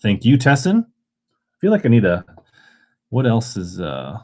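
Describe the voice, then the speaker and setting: A man's voice: fairly low pitch, huge pitch swings, unhurried, warm tone. Chatty man selling baits live. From Minnesota. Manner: rambling, chatty, reads chat aloud. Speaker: live auction seller. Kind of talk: casual livestream selling.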